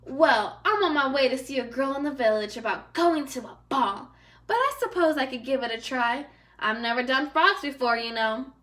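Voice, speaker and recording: A storybook fairy godmother, as a woman reader puts her on, breezy and teasingly blunt. She chats in an offhand way, with a bright, fairly high voice. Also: animated, moderate pace, distant mic.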